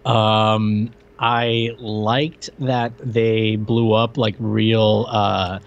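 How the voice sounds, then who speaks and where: Man, podcast co-host, animated teasing chat, dull lo-fi audio. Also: fairly low pitch, very slow, creaky. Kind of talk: casual chat reviewing a movie.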